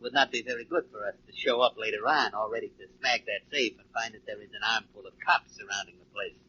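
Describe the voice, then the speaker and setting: Tough gangster boss, stiff and deliberate, forceful edge, vintage radio drama, noisy dull recording. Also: male voice, fairly low pitch. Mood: commanding. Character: gangsters plotting a safe job, tough men. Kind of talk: 1940s radio acting.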